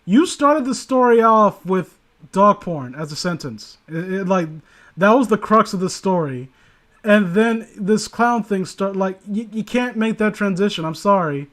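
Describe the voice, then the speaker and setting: A man's voice: high voice, expressive, measured pace, a bit bright. A man casually reading aloud and commenting, sardonic and prone to profane asides. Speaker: host reading and critiquing internet horror stories.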